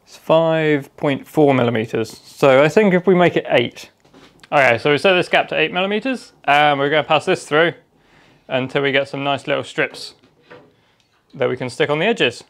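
A man explaining a woodworking job step by step in a relaxed, practical way while he works in his home workshop. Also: fairly high pitch, normal speed. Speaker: DIY workshop host. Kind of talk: casual how-to narration while working. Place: cluttered home workshop, indoors.

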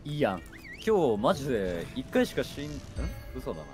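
Man with very lively pitch, gaming. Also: brisk. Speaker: game streamer, guest co-op partner. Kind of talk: casual chat during a co-op game stream. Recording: game music behind. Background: video game music.

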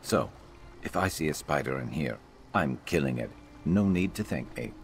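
Blunt, world-weary game adventurer, extremely deep register, animated inflection, dry wit. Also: male voice, moderate pace, slight background noise. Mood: blunt, guarded. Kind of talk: scripted fantasy game voice acting.

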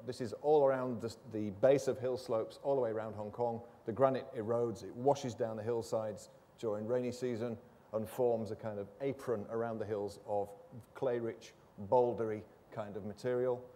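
A man's voice: mid-range voice, dark tone. A man giving an academic lecture in a lecture theatre, explaining steadily with the odd filler. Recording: reverberant hall.

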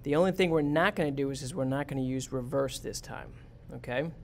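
A man's voice: medium pitch, brisk, a little hiss or hum. A man, a teacher, walking his class step by step through a robot programming task in a screen-recorded lesson. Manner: plain, step-by-step, talks students through it.

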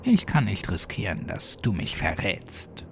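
A man's voice: sits low, huge pitch swings, measured pace, a little hiss or hum, exaggerated voice. Man voicing a sinister, falsely innocent little girl. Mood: cold whispering menace. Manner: feigned innocence, dark threatening undertone. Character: vengeful little girl, sugary sweet turning coldly menacing.

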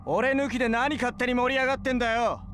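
An actor playing a cocky, hot-headed young street fighter in dramatic video game dialogue. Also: male voice, very high voice, steady tone, quick pace, background noise. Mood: indignant. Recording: music under the voice.

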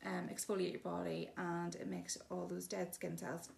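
A woman's voice: fairly low pitch, little pitch movement, normal speed, creaky, a little mellow, slight background noise. A woman giving a chatty, casual demonstration of bathroom products, explaining each one plainly with frequent ums. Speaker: shop presenter showing products.

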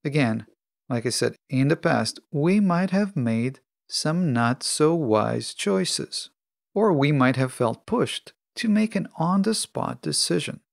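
A man hosting a self-help podcast alone, speaking in measured, deliberate phrases. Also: a little high, expressive, creaky, dry recording, clean studio sound. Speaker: podcast host.

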